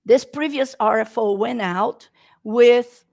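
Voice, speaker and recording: A woman's voice: fairly high pitch, slow pace, creaky, darkish tone, dry recording, clean studio sound. A woman from Brazil with a Brazilian Portuguese accent, presenting a webinar in earnest, slightly non-native English. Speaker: state procurement presenter.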